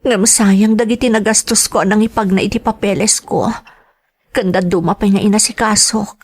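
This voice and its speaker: An actress playing a dutiful, long-suffering elder sister with an Ilocano accent in an emotional radio drama. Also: female voice, medium pitch, steady tone, moderate pace, breathy edge. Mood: anguished, pleading.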